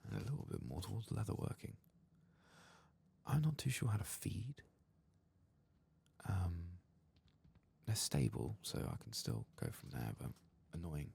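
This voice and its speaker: A man softly murmuring and half-whispering gameplay commentary in a husky, breathy voice, like an ASMR host. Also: sits low, wildly swooping pitch, measured pace, long pauses.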